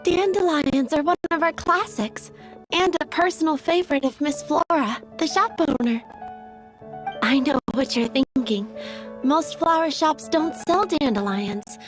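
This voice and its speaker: A woman's voice: sits high, a little slow, low-quality recording. A woman voicing a sweet, timid young female flower seller in an anime game, polite, earnest and a little hesitant. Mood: polite, cheerful. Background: faint music.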